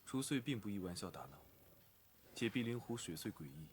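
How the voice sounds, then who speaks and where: A man playing a reserved young cultivator in an animated drama, on the low side. He is stern and formal, with faint noise behind him. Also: a little fast, natural voice. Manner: solemn, formal.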